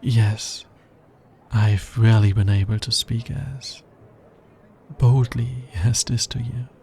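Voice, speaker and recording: A man playing a tender, earnest alien scientist in an intimate ASMR romance roleplay, soft-spoken and measured. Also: mid-range voice, fairly even intonation, gravelly, a little hiss or hum. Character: smitten male alien scientist, earnest, tender, fascinated by humans.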